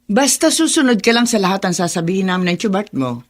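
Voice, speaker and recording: An actress playing a scheming, smooth-talking aunt in a Filipino radio drama, coaxing and brash when crossed. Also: female voice, mid-range voice, lively intonation, quick pace, close to an everyday voice.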